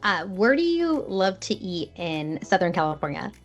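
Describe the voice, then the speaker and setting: A woman's voice: on the low side, very animated, normal speed, muffled recording, faint music behind. A woman in her thirties hosting an interview podcast, bubbly and affirming, with frequent fillers. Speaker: podcast host. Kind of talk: casual interview chat.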